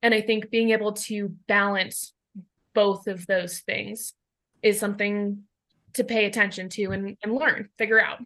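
Loud, bright-voiced woman guide. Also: medium pitch, level tone, unhurried. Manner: loud, outgoing voice. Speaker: mountain guide, guest. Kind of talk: casual interview talk.

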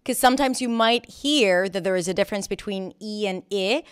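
A woman whose first language is Hebrew speaks in a smooth, clear voice, with her pitch sitting in the middle of the usual range. She explains her teaching method earnestly, in a conversational interview, and restarts her phrases now and then. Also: a little fast. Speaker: pronunciation teacher.

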